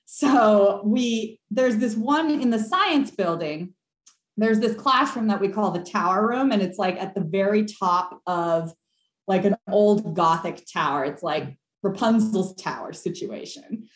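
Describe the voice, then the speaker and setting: A young woman telling a ghost story on a podcast call, animated and laughing, with lots of fillers. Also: medium pitch, measured pace. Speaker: caller. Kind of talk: casual storytelling. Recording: echoey room.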